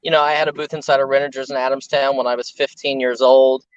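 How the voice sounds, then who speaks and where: Guest dealer, forties male, mid-pitched, chatty storyteller, lo-fi sound. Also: little pitch movement, fast talker, clean tone, no room sound. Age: 40s. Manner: rambling, anecdotal storyteller. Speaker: guest, antiques and ephemera dealer. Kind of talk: casual interview chat.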